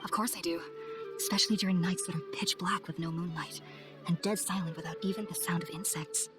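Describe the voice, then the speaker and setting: A woman playing an earnest, polite young scholar in a video game, speaking in a formal, measured, articulate way. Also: fairly low pitch, steady tone, music in the background, natural voice. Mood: calm confiding. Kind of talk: video game cutscene acting.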